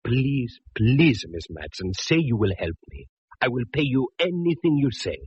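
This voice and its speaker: An actor playing an anxious, courteous Italian restaurant owner in old radio drama, husky, with an Italian accent and a formal, pleading manner. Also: male voice, mid-range voice, sing-song, unhurried, a little mellow, exaggerated voice. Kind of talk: 1940s-50s radio drama acting. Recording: muffled vintage radio recording.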